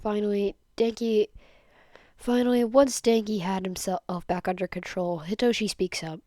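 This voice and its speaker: A woman narrates a story at a middle pitch in a very dry space. She reads from a script and now and then trips on a word, then picks it up again. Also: fairly even intonation, normal speed, dark tone, dry recording. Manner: stumbles over words and rereads them. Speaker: fan fiction podfic reader.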